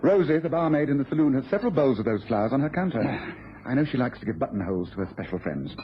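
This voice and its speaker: An actor playing a shrewd, self-assured English detective in a British radio drama, speaking briskly, precisely and knowingly. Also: male voice, mid-range voice, lively intonation, natural voice. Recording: dull old radio recording, faint noise.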